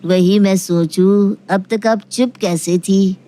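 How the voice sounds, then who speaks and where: A woman's voice: medium pitch, steady tone, takes it slowly, mellow, natural voice. A woman playing an exasperated grown son in a family audio story, pleading and sarcastic. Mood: sarcastic, weary. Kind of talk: Hindi audio story acting.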